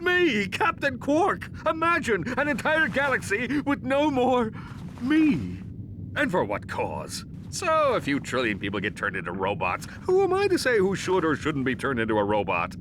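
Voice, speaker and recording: A man's voice: huge pitch swings, normal speed. A man voicing a vain, cowardly, muscle-bound space superhero in a pompous, melodramatic manner for a video game cutscene. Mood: melodramatic, self-pitying. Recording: noisy game audio with rumble.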